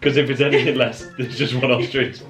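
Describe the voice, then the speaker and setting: A man's voice: mid-range voice, flat delivery, brisk. A young man bantering casually as a vlog co-host, with a joking, playfully mock-solemn way of talking.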